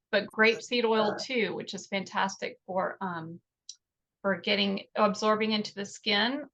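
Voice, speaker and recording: A woman's voice: medium pitch, fairly bright tone. 45ish woman explaining stuff as a podcast guest, stops to find words, audio a bit lo-fi. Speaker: podcast guest, natural skincare product maker. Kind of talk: interview talk explaining products.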